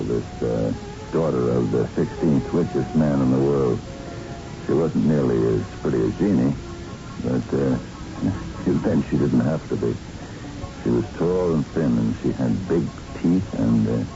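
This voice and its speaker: An actor playing a weary old police sergeant in a 1970s radio drama, talking in a halting, folksy, gravelly voice. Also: male voice, very deep voice, lively intonation, heavily acted. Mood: dry, halting. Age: older. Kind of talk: 1970s radio drama acting, reminiscing narration. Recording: muffled old radio broadcast, music behind.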